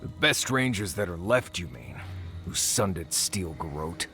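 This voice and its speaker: An actor playing a gruff, sardonic, grumbling companion in a video game, with a dry delivery. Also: male voice, low voice, big pitch swings, unhurried, sharp tone, soft background music, full character voice. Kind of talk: scripted video game dialogue.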